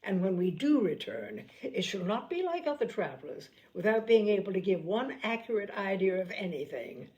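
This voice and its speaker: A woman's voice: medium pitch, very animated, moderate pace, few pauses, mellow, highs cut off, lightly acted. A woman doing a gushing, rapturous young lady. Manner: gushing, exclamatory.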